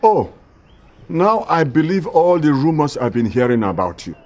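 A man's voice: fairly high pitch, big pitch swings, moderate pace, darkish tone. An actor playing a proud middle-aged father in a film drama, cutting and emphatic, with a Nigerian accent. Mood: scornful. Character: proud, scornful father defending his household.

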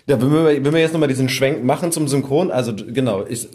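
A man's voice: mid-range voice, fast, darkish tone, breathy edge. A man in his thirties hosting a casual interview chat, informal and attentive, putting in short acknowledgements. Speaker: podcast host, interviewer.

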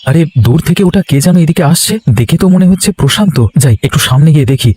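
পুরুষ কণ্ঠে দ্রুতভাষী, উত্তেজিত গ্রাম্য স্বামী. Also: male voice, a little high, lively intonation, fast, a little mellow, slightly rough, faint music behind. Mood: alarmed, questioning. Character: village husband and nephew, excitable, easily alarmed. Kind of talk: Bengali animated ghost-story voice acting.

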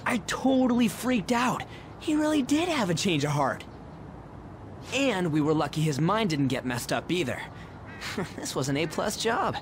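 An actor playing a brash, good-hearted teenage delinquent boy with a rough, slangy delivery in a video game. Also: high voice, sing-song, normal speed, slight background noise. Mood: excited. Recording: music behind the voice.

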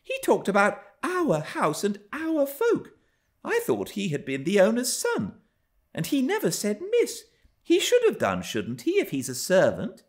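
Man voicing a spoiled little girl, very high-pitched. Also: huge pitch swings, unhurried, full character voice. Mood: puzzled. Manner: haughty, demanding, exclamatory. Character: spoiled, imperious, indignant young gentry girl.

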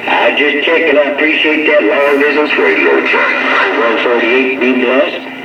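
A folksy man works the CB radio with easygoing slang and pet catchphrases. His voice is mid-pitched and comes through muffled and echoey, as a radio transmission. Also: lively intonation, a little slow. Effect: voice heard over CB radio. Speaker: CB radio operator. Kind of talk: casual CB radio chat in trucker slang.

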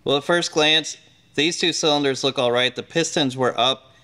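A man's voice: a little high, normal speed, bright, forceful tone, slight background noise. A middle-aged man hosting a workshop show, explaining plainly and step by step as he works with his hands in a large indoor garage. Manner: plain, matter-of-fact explaining while working. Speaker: host.

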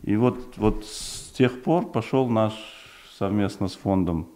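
Deep, mellow-voiced man, native Uzbek speaker. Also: measured pace. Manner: thoughtful, hesitant, many fillers and restarts. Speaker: project developer guest. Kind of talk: studio interview. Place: small television studio. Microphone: desk mic on stand.

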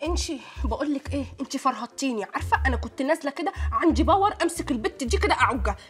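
A woman's voice: sits high, expressive, very fast, a bit bright, soft background music. Woman playing a hot-tempered ranting housewife. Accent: Egyptian Arabic. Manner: ranting, sarcastic. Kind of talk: comedy sketch.

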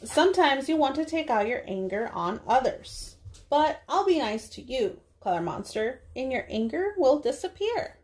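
A young woman, a preschool teacher, speaking to small children in a deliberate read-aloud style with drawn-out words. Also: high-pitched, very animated, a little mellow, slight background noise. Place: small indoor room.

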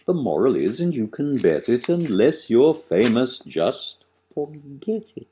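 A man in his sixties, an author reciting his own satirical rhyming verse with dramatic, deliberate emphasis and sudden swells and drops. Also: mid-range voice, sing-song, mellow, a little hiss or hum. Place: small living room, on a sofa, indoors.

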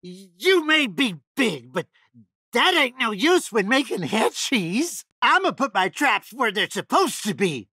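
High, raspy, twitchy Texan backwoods killer. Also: unhurried, dry recording, full character voice. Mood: whiny, defensive. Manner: stammering, excitable, folksy. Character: giddy, twitchy, sadistic backwoods young male killer. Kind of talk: video game character voice lines.

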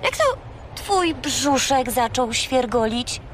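A woman's voice: high voice, lively intonation, takes it slowly, slight background noise. An actress playing a bossy, exasperated young girl who scolds and corrects in a children's radio play. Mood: exasperated, scolding.

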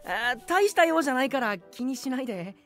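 Flustered teenage boy, high and animated. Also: fairly quick. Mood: flustered, anxious. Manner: stammering, easily startled. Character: flustered, earnest teenage schoolboy with amnesia. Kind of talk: Japanese anime drama CD acting.

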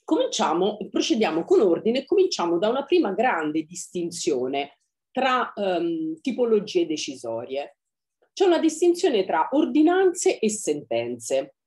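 Lively-pitched woman lecturing with hesitations. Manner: deliberate, with frequent hesitation fillers. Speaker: law lecturer. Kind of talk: academic webinar talk. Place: home study with bookshelves, video call. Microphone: webcam on video call.

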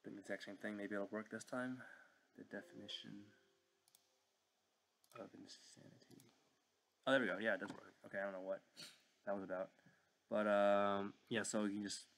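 A young man giving a casual, unscripted software walkthrough at his desk at home, hesitant and apologetic in manner. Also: lowish voice. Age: young adult. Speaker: learner presenting a tutorial. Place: indoor room at home.

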